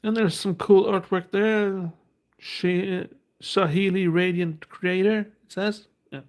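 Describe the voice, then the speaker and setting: A man's voice: high-pitched, steady tone, slow. A man, hosting a casual unboxing and reading card text aloud in a hesitant, thinking-aloud way. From Norway. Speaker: host.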